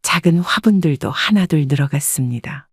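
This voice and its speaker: A woman calmly narrating a first-person life story. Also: low-pitched, moderate pace, slightly rough, no echo, studio quality.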